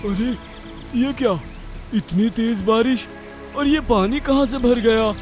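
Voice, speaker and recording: A man's voice: very high-pitched, takes it slowly, soft background music. An actor playing a lazy but repentant father bird in a cartoon story, earnest and dramatic. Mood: alarmed. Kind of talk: Hindi cartoon story character acting.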